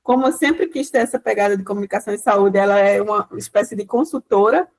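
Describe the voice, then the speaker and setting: A woman's voice: medium pitch, quick pace, darkish tone. A woman giving a rambling guest lecture over a video call, full of tag questions and restarts. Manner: rambling explanation, frequent "né" tags and restarts. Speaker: guest lecturer, project coordinator. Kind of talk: online class talk.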